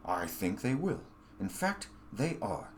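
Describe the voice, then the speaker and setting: A man voicing a forceful, self-righteous leader in a story, rallying followers with emphatic, oratorical speech. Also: on the low side, sing-song, takes it slowly, slight background noise. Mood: insistent persuasion.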